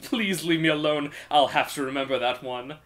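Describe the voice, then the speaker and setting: Man putting on a sing-song, high-pitched cheerful minstrel. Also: moderate pace, fairly bright tone, lightly acted. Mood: delighted, friendly. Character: relentlessly cheerful, chatty wandering minstrel man.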